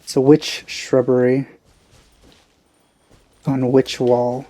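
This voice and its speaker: A man's voice: medium pitch, monotone, drawn-out words, dark tone. A man giving casual, off-the-cuff commentary as he plays a video game. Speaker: let's player.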